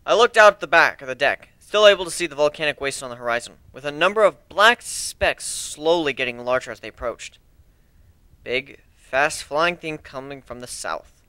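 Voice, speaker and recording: A man's voice: mid-range voice, bright, forceful tone, clean tone, no echo. A man giving an amateur dramatic reading of a fan fiction story in a theatrical manner. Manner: theatrical, acts out dialogue.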